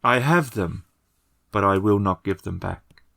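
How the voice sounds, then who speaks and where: A man's voice: fairly low pitch, a little slow, gravelly, a bit bright, dry recording, clean studio sound, exaggerated voice. A man voicing a grieving, stubborn village woman who is polite but firm, in a tabletop game. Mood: quietly defiant.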